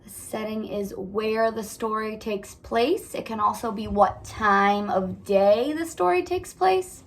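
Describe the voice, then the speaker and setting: A woman's voice: mid-range voice, takes it slowly. A woman teacher reading aloud and talking to young children in an instructive way, often putting questions to them. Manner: instructive, asks the listeners questions. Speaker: elementary school teacher. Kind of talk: children's read-aloud and lesson.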